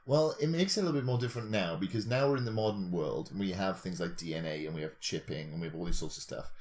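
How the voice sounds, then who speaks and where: A man's voice: low voice, fast talker, a little mellow. A man in a casual discussion, reasoning analytically aloud and posing rhetorical questions as a podcast co-host.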